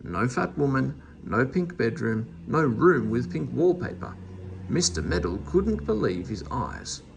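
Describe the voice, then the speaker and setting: A man's voice: mid-range voice, very animated, measured pace, gravelly, noisy background. A man reading a children's story aloud as narrator. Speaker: storybook reader.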